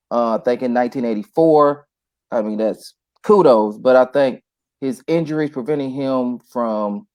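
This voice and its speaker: A man as a podcast guest in a casual sports chat, playful and thinking out loud as he weighs his choices. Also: medium pitch.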